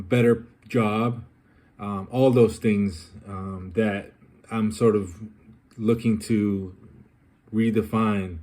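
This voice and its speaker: A young man from Colombia speaks his mind in a personal vlog. His voice sits a little low, and he thinks aloud, pausing often on ums and uhs as he works through his thoughts. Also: warm tone. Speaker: vlogger. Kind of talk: personal reflective monologue.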